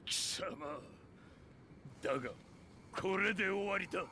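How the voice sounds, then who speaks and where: A man's voice: high-pitched, fairly quick, heavy background noise, natural voice. A man playing a cold, vengeful, arrogant martial arts antihero in a video game, curt and scornful. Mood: strained fury. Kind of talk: dramatic video game acting.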